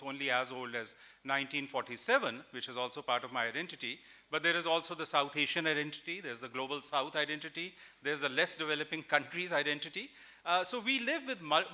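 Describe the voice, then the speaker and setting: Bright-voiced male panel moderator. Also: on the high side. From Pakistan. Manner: measured, reflective, thinking aloud with fillers. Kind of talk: panel discussion at a literary festival. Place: large indoor festival stage with audience. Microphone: stand mic.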